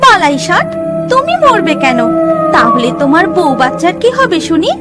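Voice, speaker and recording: Lady doing a coy teasing mysterious young village woman, cartoon horror, super high lively voice. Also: moderate pace, over loud music. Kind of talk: animated horror story dialogue.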